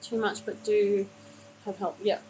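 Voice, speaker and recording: Woman, tarot reader, soothing, rambling, middle pitch, phone mic, faint background noise. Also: level tone, unhurried, low-quality recording. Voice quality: gentle, soothing, calls listener honey. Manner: meandering, many fillers and restarts. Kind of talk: live-streamed card reading to a viewer.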